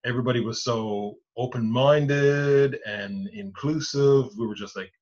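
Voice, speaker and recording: Measured priest on a muffled video call. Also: male voice, medium pitch, a little mellow. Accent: Newfoundland English. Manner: measured, explains step by step with many restarts. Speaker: Anglican priest, rector. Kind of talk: explanatory interview answers. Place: home study with bookshelves. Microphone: video call webcam.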